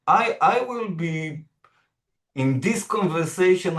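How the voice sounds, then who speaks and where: A man co-hosting an online panel discussion, measured and thoughtful, pausing and restarting as he works through his points. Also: medium pitch, roomy sound. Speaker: founder of a Middle East center, co-host. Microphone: video call.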